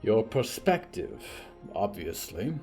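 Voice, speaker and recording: A man's voice: on the low side, big pitch swings, slow, a little mellow, faint music behind, heavily acted. A man playing an anxious, fussy male devil in an audio drama, with an emphatic, exasperated delivery. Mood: snappy.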